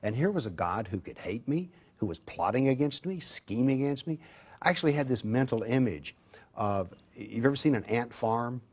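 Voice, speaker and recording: A man answering as a guest on a talk show, recounting his experience in a measured, earnest way. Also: mid-range voice, lively intonation, slightly rough, highs cut off. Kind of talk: interview answers.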